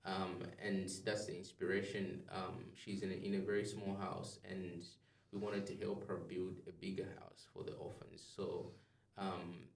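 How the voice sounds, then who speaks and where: A man's voice: sits low, level tone, a little slow, gravelly, distant mic. A man from Zambia, a podcast guest chatting casually and rambling with many ums and restarts. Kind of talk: casual interview chat. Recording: echoey room, off-mic.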